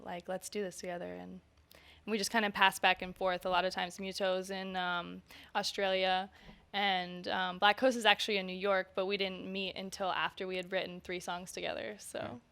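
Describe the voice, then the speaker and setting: A woman's voice: mid-range voice, steady tone, moderate pace, gravelly, a bit bright. A woman musician talking casually in an interview, informal and conversational, often saying "like" and "kinda". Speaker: musician and singer being interviewed.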